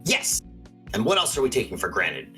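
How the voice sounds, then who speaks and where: An actor playing a quirky, excitable small-town paranormal radio host in a radio play, theatrical and earnest. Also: male voice, mid-range voice, normal speed, faint music behind. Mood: earnest, intrigued. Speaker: radio call-in show host.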